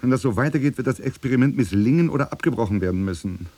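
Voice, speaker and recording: An actor playing a gruff, sceptical male East German secret police superior, brusque, sarcastic and colloquial. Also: medium pitch, animated, quick pace, warm tone, dry recording, close to an everyday voice. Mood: irritated, sarcastic. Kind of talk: radio play dialogue.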